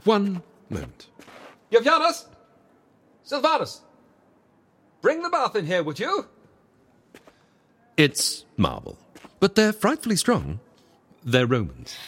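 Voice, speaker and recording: Husky, wildly animated ancient schemer. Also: male voice, high voice, takes it slowly, long pauses, full character voice. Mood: courteous, fussy. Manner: theatrical, flustered, courteous. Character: excitable, fussy ancient proprietor of secret agency. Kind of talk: comic audio drama acting.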